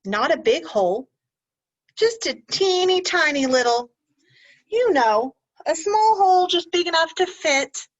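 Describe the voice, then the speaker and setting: A woman's voice: extremely high pitch, unhurried. A woman teacher reading a picture book aloud to children, stopping often to ask them questions. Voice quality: animated storyteller, asks children questions. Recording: dull lo-fi recording.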